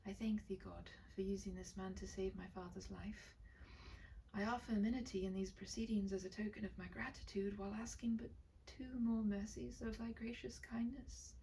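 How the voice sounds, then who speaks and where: A woman voicing a timid, devout young noble bride in a storybook, with a hesitant, breathy whisper. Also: medium pitch, steady tone, moderate pace, far from the mic, slight background noise, lightly acted, poor audio. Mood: pleading, prayerful. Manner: hesitant, pleading, hushed.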